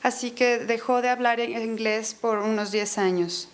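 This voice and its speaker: Hesitant woman interpreting with a higher voice. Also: fairly even intonation, normal speed. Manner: hesitant, many fillers and self-corrections. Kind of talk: consecutive interpreting of a lecture. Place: university auditorium.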